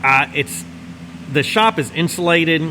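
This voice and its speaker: Drawling Louisiana man in a humming garage. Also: on the high side. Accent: Northwest Louisiana Southern drawl. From Northwest Louisiana. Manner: easygoing, meandering, folksy drawl, pauses while smoking a cigar. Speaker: channel host. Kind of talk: casual rambling chat to camera. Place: large insulated home garage workshop, indoors. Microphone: camera close in front, seated. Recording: steady heater hum behind voice. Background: heater running.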